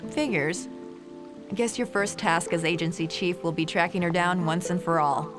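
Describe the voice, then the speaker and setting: An actress playing a brisk, wry, capable female field agent in a video game, with a clipped, businesslike manner. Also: fairly low pitch, steady tone, music in the background, lightly acted. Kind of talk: video game cutscene acting.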